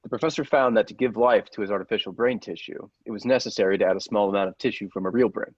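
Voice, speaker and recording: A man playing a grave, persuasive scientist's assistant in a radio play, deliberate and theatrical, with secretive zeal. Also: fairly low pitch, husky, dry recording, highs cut off. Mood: businesslike, explanatory. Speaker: podcast actor.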